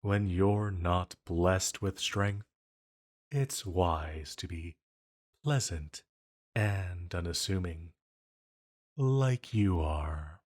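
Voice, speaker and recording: A man playing an ancient banished villain in a roleplay audio drama, flirty and condescending, with a measured, silky, intimate delivery. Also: sits low, exaggerated voice. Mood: condescending, charmed.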